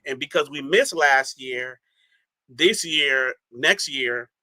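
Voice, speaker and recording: A man's voice: mid-range voice, slow pace, pushed and bright, dry recording. A man from the Bahamas, a guest in a casual interview, talking emphatically and often checking in with his listener. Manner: emphatic, repeats "you see what I'm saying".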